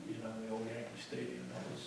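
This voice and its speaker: A man telling a halting, rambling story about a friend at a memorial service. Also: on the low side, big pitch swings, vocal fry, a little mellow, slight background noise. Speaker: mourner sharing a memory. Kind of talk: informal memorial remarks. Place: church sanctuary. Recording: echoey room, mic far off.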